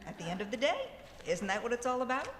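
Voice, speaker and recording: A woman plays a gracious, affectionate radio-drama lady. Her slightly high voice carries heavy hall echo over faint noise. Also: sing-song, fast talker. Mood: consoling. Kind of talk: live radio drama acting. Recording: echoey hall, long reverb, faint noise.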